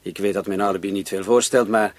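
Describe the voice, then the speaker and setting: An actor playing a composed widowed husband in a radio play, explaining himself politely. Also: male voice, on the low side, fairly even intonation, quick pace, lightly acted. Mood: earnest, explaining.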